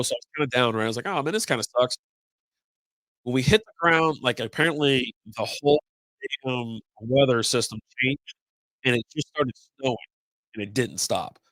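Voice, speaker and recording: A middle-aged man hosting a beer podcast live stream, congested from a cold with a slightly raspy voice, rambling along in loose casual chat with some mild swearing. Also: medium pitch, a bit bright, no echo, rough recording.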